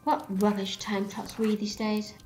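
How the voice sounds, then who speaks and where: A woman's voice: mid-range voice, level tone, unhurried, a little mellow, soft background music, close to an everyday voice. An actress playing a haughty, scolding Time Lady mother in an audio drama, imperious and disapproving. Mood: indignant, scolding.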